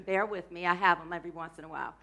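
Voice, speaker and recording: A woman's voice: fairly low pitch, quick pace, pushed and bright. Playful woman minister, scratchy voice. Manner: rambling, playful, drifts off topic mid-sentence. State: scratchy, throat-clearing voice. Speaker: minister of a church. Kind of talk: casual sermon to a congregation.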